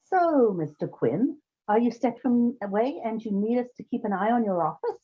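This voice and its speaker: An actress playing a brisk, wry woman who runs an odd job agency in an old-time radio comedy. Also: on the high side, sing-song, slightly rough. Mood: wry, businesslike. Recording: slightly dull, muffled sound.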